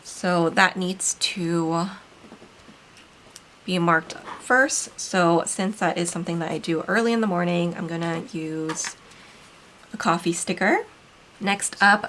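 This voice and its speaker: Relaxed chatty woman, slightly low voice. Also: a little hiss or hum. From Philippines. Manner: relaxed, chatty, frequent ums. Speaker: planner vlogger and shop owner. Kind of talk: casual planner voice-over.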